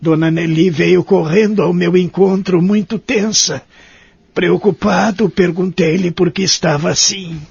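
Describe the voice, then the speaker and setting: A man's voice: sits high, steady tone, a little slow, gravelly, a little mellow, slightly rough, no room sound, full character voice. An actor playing a lonely, remorseful old man who narrates his life in a care home in an audio soap opera. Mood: narrating. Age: older. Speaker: narrator and lead character of audio drama. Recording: dull lo-fi audio with music behind.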